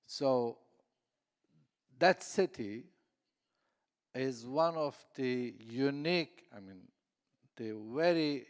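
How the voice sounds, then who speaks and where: Slow, halting man. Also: medium pitch. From southeast Turkey. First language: Kurdish. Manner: halting, many fillers and restarts. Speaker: conference panelist. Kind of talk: conference panel talk. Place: conference hall. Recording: echoey conference hall.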